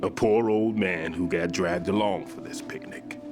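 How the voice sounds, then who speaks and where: A man's voice: low voice, unhurried, music in the background. A male actor playing a weary, regretful old bomb disposal veteran in a video game, self-deprecating and world-weary. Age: older. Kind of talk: dramatic video game cutscene acting.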